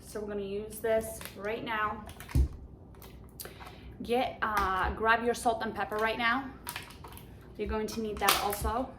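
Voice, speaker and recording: A woman's voice: mid-range voice, flat delivery, unhurried, pauses often. A woman with a Polish accent hosting a home cooking show, giving chatty, enthusiastic step-by-step instructions in her kitchen. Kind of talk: cooking demonstration. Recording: echoey kitchen with background noise.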